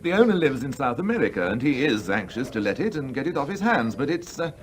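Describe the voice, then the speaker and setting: An actor playing a fussy, evasive, businesslike English house agent in a radio play, with hesitant, brisk patter. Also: male voice, mid-range voice, very animated, close to an everyday voice. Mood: evasive, uneasy.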